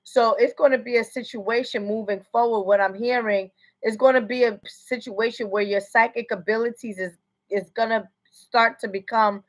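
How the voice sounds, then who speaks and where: A woman's voice: on the high side, fairly even intonation, moderate pace, rough recording. An American woman working as a psychic medium, giving a casual livestream reading in a rambling way and often asking "you know what I'm saying". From United States.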